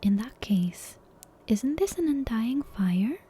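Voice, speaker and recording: Woman reading as little witch girl, breathy whisper, mellow, curious, hesitant, quietly scheming, bedtime storybook. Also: on the high side, sing-song, slow pace, slight background noise. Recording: soft background music and ambience.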